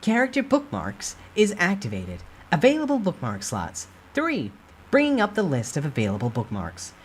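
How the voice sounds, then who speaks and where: Game system voice, male reader: raised pitch, crisp, formal, impersonal notification delivery. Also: wildly swooping pitch, normal speed, slight background noise. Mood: formal announcing.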